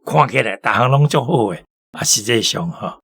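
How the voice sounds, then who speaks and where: Male radio commentator, very raspy, creaky lowish voice, Taiwanese accent, conversational explaining. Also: expressive, normal speed, a bit bright. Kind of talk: informal story commentary.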